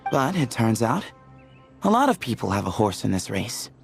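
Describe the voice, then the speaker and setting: A breezy, teasing young temple leader. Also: male voice, medium pitch, sing-song, moderate pace, gravelly, soft background music. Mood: easygoing. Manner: laid-back, teasing, chuckling. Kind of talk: game cutscene dialogue.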